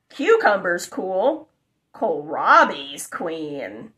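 A young adult woman reading aloud for children in an exuberant, sing-song chanting way with drawn-out words. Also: sits high, fairly bright tone. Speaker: host of a children's read-aloud channel. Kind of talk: animated children's picture-book read-aloud. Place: small furnished room indoors.

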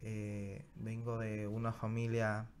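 A man, a guest journalist chatting haltingly with many fillers on a studio mic in a small TV studio. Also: on the low side, completely flat delivery, darkish tone, a little hiss or hum. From Dominican Republic. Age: 30s. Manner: hesitant, many fillers and restarts. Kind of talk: casual interview chat. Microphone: studio mic on stand.

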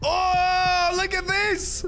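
A man's voice: very high voice, monotone, extremely slow, sharp tone, soft background music. A man hosting a gaming video, giving enthusiastic, informal showcase commentary full of exclamations. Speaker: host of a gaming video channel. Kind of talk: excited gameplay showcase commentary.

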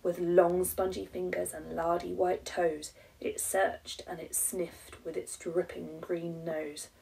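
A woman's voice: on the low side, level tone, slow pace, gravelly, mellow, distant mic, rough recording. A woman in her thirties with an English accent, a primary teacher reading a rhyming children's story with dramatic emphasis.

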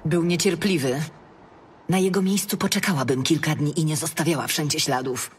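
A woman's voice: low-pitched, normal speed, a little hiss or hum, natural voice. An actress playing a tough, sardonic woman ranger in a fantasy audiobook drama. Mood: cool, probing. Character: tough, sardonic female ranger, hardened warrior.

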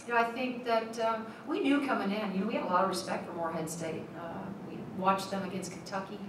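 A middle-aged woman coach at a postgame press conference, speaking in a measured way with many ums and restarts. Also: mid-range voice, fairly even intonation. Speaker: head basketball coach. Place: press room, seated at a table. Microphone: table mic, at a distance. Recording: echoey room, mic at a distance, noisy.

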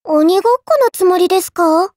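A woman's voice: very high-pitched, a little fast, very noisy. A woman voicing a cute, mischievous young girl in an anime mobile game, perky and teasing, with polite, playfully smug delivery. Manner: perky, teasing, polite speech with cutesy exclamations.

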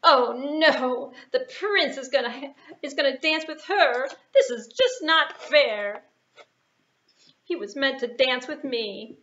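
A woman's voice: high-pitched, slow, fairly bright tone, voice pushed up. A woman voicing a bossy, whiny, jealous young stepsister in a puppet show, on a dull recording. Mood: whining.

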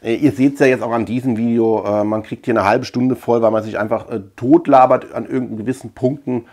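A man's voice: medium pitch, steady tone, brisk, a little mellow. A man chatting casually as a video host, rambling colloquially and repeating words for emphasis. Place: small room at home lined with shelves.

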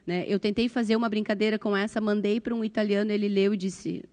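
A woman's voice: medium pitch, fairly even intonation, fast, darkish tone. A middle-aged woman with a southern Brazilian accent talks informally on a panel into a handheld microphone, often checking in with short tag questions. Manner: conversational, frequent tag questions. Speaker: volunteer translator, panelist. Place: conference panel stage, indoors.

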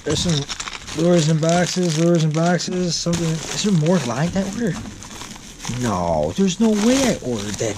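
A man in his thirties chatting casually while unboxing fishing gear in his garage, rambling and correcting himself as he goes, recorded on a wearable camera. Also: fairly high pitch. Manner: rambling, self-correcting, easygoing. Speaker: vlogger. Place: home garage, seated on a boat. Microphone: wearable action camera. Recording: packaging crackle over voice. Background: crinkling packaging.

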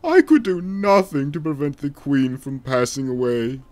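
A man's voice: on the high side, big pitch swings, moderate pace, darkish tone, no room sound. A man voicing a solemn, remorseful king in a declamatory, dramatic way for a video game fan dub.

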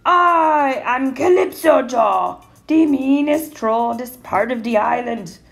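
Blustering storybook troll man with a growl, put on by a woman, slow and loud-edged, soft music behind. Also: sits high, animated, heavily acted. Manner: gruff growling Caribbean-style patter. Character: mean blustering male island troll.

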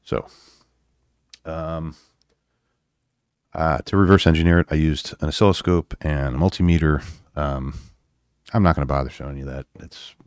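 A man giving a casual, unscripted electronics demo in a halting, self-deprecating way with wry humor. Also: a bass voice, no room sound, studio quality. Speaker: electronics hobbyist presenter. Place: indoors at a home workbench.

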